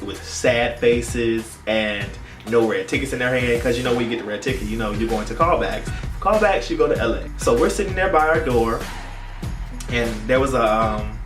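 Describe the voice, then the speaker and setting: A man's voice: medium pitch, a bit bright. A young man tells a story in a casual, playful, dramatic vlog style with slangy phrasing. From United States. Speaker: YouTube vlogger. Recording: roomy echo, distant mic, music behind.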